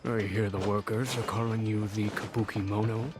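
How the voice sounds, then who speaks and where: A man voicing a composed, commanding male leader in a video game, authoritative and measured. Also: fairly low pitch, little pitch movement, lightly acted. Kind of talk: English game voice acting.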